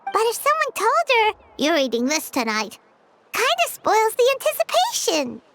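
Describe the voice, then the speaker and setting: A tiny, cheeky game mascot girl, extremely high and squeaky. Her chatty, childlike delivery leaps wildly up and down, over clear background noise. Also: wildly swooping pitch, moderate pace, fairly bright tone, heavily acted. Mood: encouraging. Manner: exaggerated, childlike, refers to herself by name. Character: tiny childlike female floating companion, chatty, cheeky, huffy. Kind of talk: video game voice acting.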